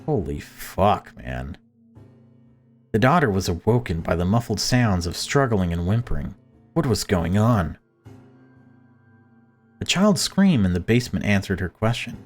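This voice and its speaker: Male let's player, low register, reading grim story narration aloud with dramatic delivery. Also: very animated, unhurried, pauses often, soft background music.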